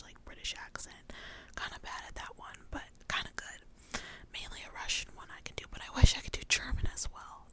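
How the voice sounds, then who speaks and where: A woman's voice: sits high, bright, forceful tone. A young adult woman whispering breathily in an informal, rambling, chatty ASMR style. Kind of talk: whispered ASMR ramble about favorite movies.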